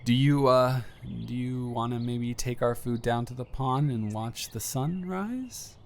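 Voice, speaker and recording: A man's voice: medium pitch, unhurried, close to an everyday voice. A man playing an earnest, anxious young man confessing love to a close friend in a hesitant, vulnerable way, in an intimate audio roleplay. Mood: shy, affectionate. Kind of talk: emotional roleplay audio acting, intimate monologue to the listener.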